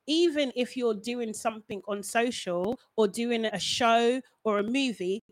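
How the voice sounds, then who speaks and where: A woman's voice: on the high side, a little slow, no room sound. A woman co-hosting a casual group podcast discussion. She talks expressively and keeps checking in with her listeners. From Britain. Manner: expressive, laughing asides, frequent "do you know what I mean". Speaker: podcast co-host.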